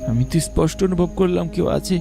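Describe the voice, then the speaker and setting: A man's voice: high-pitched, brisk, creaky, music in the background. A man narrating a scripted first-person horror story in a dramatic, suspenseful manner.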